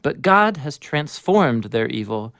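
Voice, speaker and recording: A man co-narrating an educational explainer in a casual, conversational, animated storytelling way. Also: mid-range voice, takes it slowly, gravelly, dry recording, studio quality.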